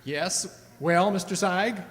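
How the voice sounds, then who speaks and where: An actor playing a stern, forthright and accusing customer in a radio play. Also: male voice, high voice, takes it slowly, a bit bright. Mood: indignant. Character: stern, indignant male customer confronting a robot maker. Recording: echoey hall, stage radio play.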